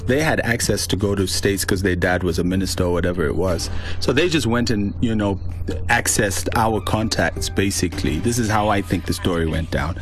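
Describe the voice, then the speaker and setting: A man from Zimbabwe, a guest on an interview, telling his story in a relaxed, rambling way with lots of "you know". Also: deep voice, fairly quick, soft background music. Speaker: interview guest, hip hop artist.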